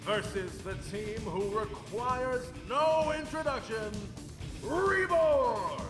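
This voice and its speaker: An actor playing a showy arena match announcer in a video game, grandiose and drawn out, with stadium reverb. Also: male voice, very high voice, expressive, slow pace, a bit bright, exaggerated voice. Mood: grandiose hype. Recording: echoing arena reverb, music behind.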